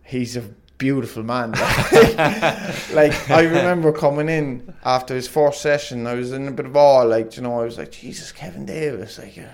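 A man's voice: medium pitch, moderate pace, few pauses, a little hiss or hum. A young man, a former footballer, telling rambling stories in a casual interview, peppered with "like" and laughs. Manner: rambling, lots of "like", laughs often.

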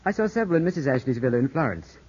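An actor playing an earnest, anxious young English gentleman weakened by illness, in formal 1950s radio drama style on an old broadcast. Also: medium pitch, very animated, racing, husky. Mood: brisk, curious. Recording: muffled 1950s radio broadcast, music behind.

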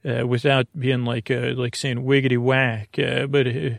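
A man with a creaky, gravelly voice at a middling pitch hosts a sleep podcast. He rambles haltingly, stopping and starting as he wanders off on tangents. The sound is dry and close, with no room around it. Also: level tone, dry recording. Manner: hesitant, halting, trailing off into tangents. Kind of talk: rambling, meandering bedtime monologue.

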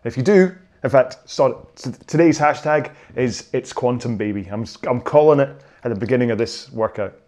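A man coaching a rowing workout, calling out cues in an upbeat, jokey way, his voice slightly hoarse. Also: medium pitch, fairly quick, few pauses, a little mellow. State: a bit hoarse. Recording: music playing behind. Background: workout music.

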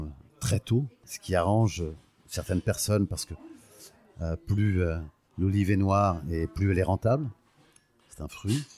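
A man's voice: low-pitched, a little mellow. A man in his sixties from Portugal, telling his story in an interview, thoughtful and often hesitating as he searches for words. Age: 60s. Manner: fond, proud of his home region. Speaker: guest, olive oil producer. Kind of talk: podcast interview, personal storytelling.

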